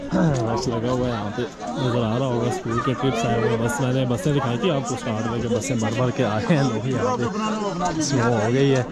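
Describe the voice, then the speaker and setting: A man with a Pakistani Urdu accent, chatting casually as a travel vlogger walking a snowy street and laughing at his own remarks. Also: mid-range voice. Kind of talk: casual walking vlog commentary. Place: outdoors on a snowy busy street. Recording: crowded outdoor street noise. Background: crowd chatter.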